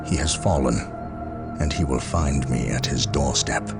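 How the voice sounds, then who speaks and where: A man's voice: extremely low pitch, unhurried, over music. A man playing a stern, proud, resolute space marine captain in a video game cutscene, grave and commanding. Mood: grim, resolute.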